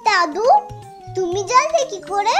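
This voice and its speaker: Woman as a very high-pitched sensible little girl. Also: lively intonation, unhurried, over loud music. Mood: curious, eager. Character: clever, sensible little village girl, bossy younger sister. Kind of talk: children's moral-story cartoon acting.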